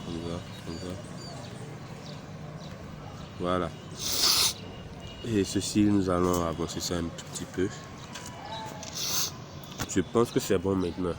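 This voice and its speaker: A man with a cold walking through a software tutorial step by step, hesitant and unhurried as he clicks through each setting. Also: sits low, steady tone, heavy background noise. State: has a cold. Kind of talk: screen-recorded software tutorial.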